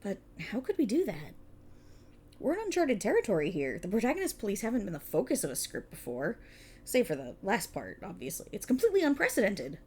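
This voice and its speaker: A woman's voice: mid-range voice, huge pitch swings, fairly quick, darkish tone, breathy edge. A woman playing a prickly, exasperated police officer in a scripted ASMR roleplay, talking in a wry, bossy, explanatory way to an unheard partner. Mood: resigned, wry. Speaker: voice actor in audio roleplay. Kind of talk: scripted ASMR roleplay, one-sided dialogue.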